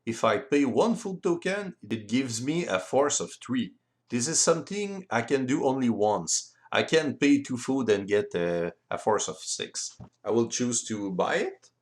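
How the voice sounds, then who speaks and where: A man explaining board game rules step by step in simple, deliberate phrasing. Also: mid-range voice, animated. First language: French. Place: voice-over recorded separately from the video.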